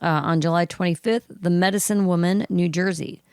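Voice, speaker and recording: A woman's voice: lowish voice, brisk, no echo, clean studio sound. A woman podcast co-host with a froggy voice, reading cannabis news aloud in a casual way. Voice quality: froggy, gravelly voice. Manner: reads news items aloud at an even pace, with throat clearing.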